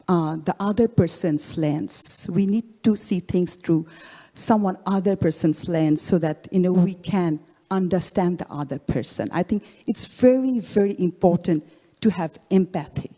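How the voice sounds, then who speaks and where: A woman from Bangladesh, speaking earnestly and haltingly as an award recipient in an onstage interview. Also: fairly low pitch, dark tone, slightly rough. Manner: earnest, halting, repeats phrases. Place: large event hall. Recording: long hall reverb.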